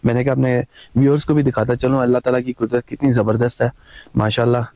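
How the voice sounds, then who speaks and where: A man's voice: mid-range voice, flat delivery, quick pace, warm tone. A man vlogging his mountain trip, chatty and enthusiastic, talking to viewers on a trail outdoors. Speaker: travel vlogger. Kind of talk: casual travel vlog narration.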